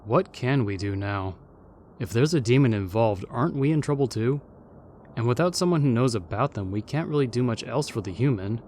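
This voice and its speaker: A man's voice: mid-range voice, animated, brisk, darkish tone, a little hiss or hum, natural voice. A man playing a formal, matter-of-fact ghost from an older era, skeptical and level-headed, in an audio roleplay. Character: stuffy, level-headed old-time male ghost. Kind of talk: fan audio roleplay comedy acting.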